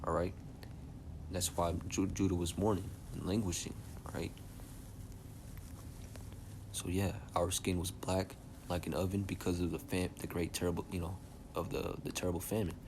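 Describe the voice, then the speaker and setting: Soft-spoken young man teaching scripture, very low. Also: level tone, normal speed, pauses often, noisy background. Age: young adult. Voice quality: soft-spoken by his own account. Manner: casual, checks in constantly with "all right?" and "okay?". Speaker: Bible teacher.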